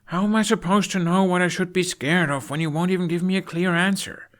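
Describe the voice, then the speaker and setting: Man acting an earnest, high-pitched anxious young son. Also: fairly even intonation, a little fast, a bit bright. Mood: frustrated. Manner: stammering, pleading.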